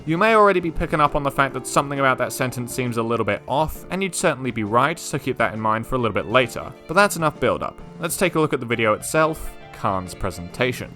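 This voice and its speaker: A man's voice: mid-range voice, lively intonation, fast talker, hardly any pauses, over music. A man narrating a scripted explainer commentary in informal, wry phrasing. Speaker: video essay commentator.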